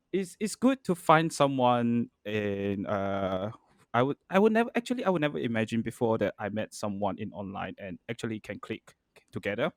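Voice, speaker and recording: A man's voice: medium pitch, no echo, studio quality. A man hosting a podcast, chatting in a friendly, hesitant way with frequent restarts and fillers. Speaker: podcast host. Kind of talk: casual podcast conversation.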